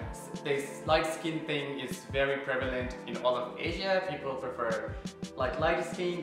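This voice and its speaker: A young man from Nepal vlogging casually in his apartment, candid and chatty with lots of fillers. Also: on the high side. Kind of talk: casual vlog talk to camera. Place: apartment kitchen. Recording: very echoey room with music.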